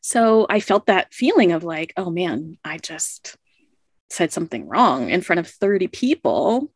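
A woman's voice: lowish voice, big pitch swings, measured pace, breathy edge, no room sound, studio quality. A woman telling a personal story in a casual conversation, thoughtful and often laughing. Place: lives in Japan.

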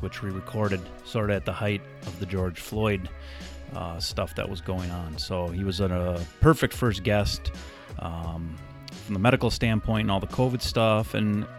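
A man hosting a podcast in a casual, earnest, conversational way. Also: deep voice. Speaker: podcast host.

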